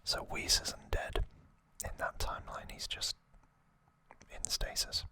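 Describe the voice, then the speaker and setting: A man softly whispering an ASMR recap in a breathy, unvoiced voice, with an unhurried, halting delivery and pauses. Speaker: ASMR host.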